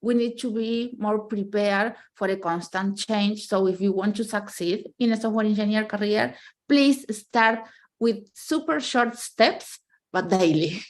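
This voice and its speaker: A woman's voice: medium pitch, a little slow. Argentine woman, spanish first language, earnest career tips, says "right?" a lot. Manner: earnest, often ends points with "right?". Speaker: software engineer guest. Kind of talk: casual career chat.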